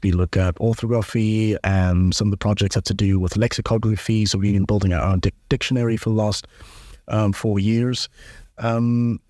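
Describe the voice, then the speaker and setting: Man, low, dry voice. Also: dry recording. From South Africa. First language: isiZulu. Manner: relaxed, explanatory, with many fillers and restarts. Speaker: guest, linguist and musician. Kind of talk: casual interview chat.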